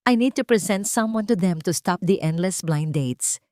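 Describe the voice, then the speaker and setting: A woman's voice: on the low side, lively intonation, normal speed, darkish tone, no echo, studio quality, lightly acted. A woman voicing a young billionaire CEO in a romance audio story, blunt, businesslike and controlled. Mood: cold, firm. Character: commanding, cool young male billionaire CEO.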